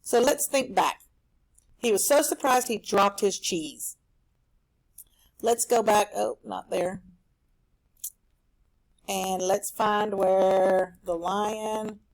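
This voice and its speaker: A woman teaching a recorded reading lesson for children at home, explaining a story in an animated, explanatory way. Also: medium pitch, takes it slowly, long pauses, low-quality recording.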